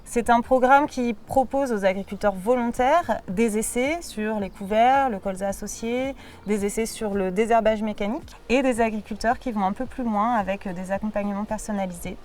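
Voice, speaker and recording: A woman giving a prepared, measured testimonial as a programme coordinator. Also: medium pitch, a little mellow, distant mic, a little hiss or hum. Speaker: local water and agriculture programme coordinator.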